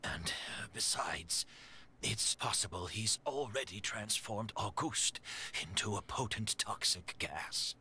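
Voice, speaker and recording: An actor playing a frail, ailing older man who talks in a soft, breathy, halting way, weak from illness. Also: lowish voice, whispered, exaggerated voice. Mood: cautious.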